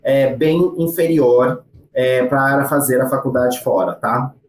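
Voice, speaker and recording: A Brazilian man speaks with a Brazilian Portuguese accent, answering interview questions about studying abroad in an unhurried, explanatory way. His voice sits at an ordinary mid pitch, and frequent hesitation fillers break up his sentences. The sound carries a noticeable room echo. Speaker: guest from a study-abroad agency.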